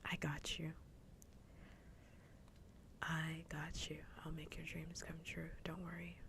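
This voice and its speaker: A woman speaks low and slowly in a soft, breathy half-whisper. She chats casually with viewers as an ASMR stream host, rambling gently from thought to thought. The microphone is very close, with a faint low rumble underneath. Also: completely flat delivery. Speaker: livestream host.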